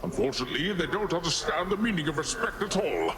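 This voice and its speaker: A man's voice: a little high, big pitch swings, fast, noisy background. A man acting a boastful, goofy middle-aged sea god uncle in a video game, with a grand, jovial theatrical delivery. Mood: indignant.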